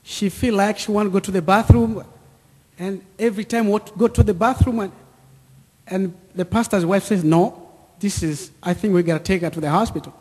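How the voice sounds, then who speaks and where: A man in his thirties with an East African accent, giving a personal testimony to an audience in deliberate, short repeated phrases. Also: high-pitched, dark tone, husky. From Central or East Africa, near Lake Tanganyika. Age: 30s. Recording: echoey hall with long reverb.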